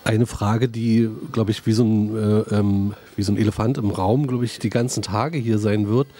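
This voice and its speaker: A man moderates a panel discussion, his voice low and mellow. He asks long questions, circling around his point with fillers before he gets there. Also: fairly even intonation, moderate pace. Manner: rambling, searching for words in questions.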